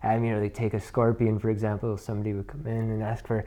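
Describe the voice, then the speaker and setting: A man's voice: on the low side, completely flat delivery, fast talker, warm tone. A Scottish man from Inverness, maybe in his thirties, telling his business story in a chatty, wry way, often correcting himself. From Scotland. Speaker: business co-founder guest. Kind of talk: casual interview chat.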